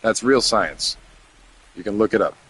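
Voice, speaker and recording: A man's voice: fairly low pitch, expressive, a little slow, a bit bright, slight background noise, low-quality recording. A man in his thirties chatting casually to his viewers while he plays a game, rambling from topic to topic and muttering to himself as he works through menus. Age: 30s. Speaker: game streamer.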